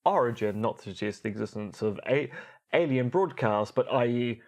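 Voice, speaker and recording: A man of average pitch speaks as a podcast co-host. His delivery is articulate and explanatory, with formal, somewhat academic turns of phrase. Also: lively intonation, normal speed.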